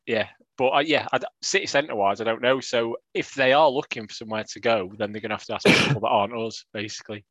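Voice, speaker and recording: A man with a voice of middling pitch, trading wry jokes in relaxed conversation. The recording is dry and close, with no echo. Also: fast, few pauses, highs cut off. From England. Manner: wry, jokey banter. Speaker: podcast co-host. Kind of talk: casual chat.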